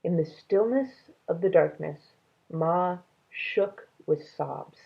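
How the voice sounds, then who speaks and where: A woman storyteller reads a children's novel in a low voice with a gravelly creak. Her delivery is slow and measured, and the recording sounds thin and tinny, like a narrow band. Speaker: read-aloud storyteller. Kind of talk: reading a children's novel aloud.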